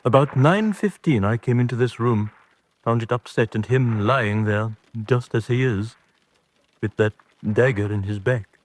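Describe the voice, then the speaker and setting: A man's voice: mid-range voice, measured pace, close to an everyday voice. A man playing a loyal, nervous manservant in a radio drama, deferential and colloquial in his talk. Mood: deferential, uneasy.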